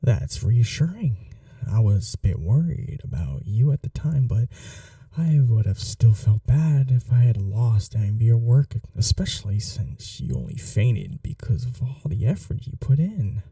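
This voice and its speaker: Man playing a dark-voiced, courteous vampire gentleman. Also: mid-range voice, big pitch swings, a little slow, gravelly, no room sound, far from the mic, full character voice. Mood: caring, attentive. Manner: polite, soothing, softly formal. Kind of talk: intimate ASMR roleplay.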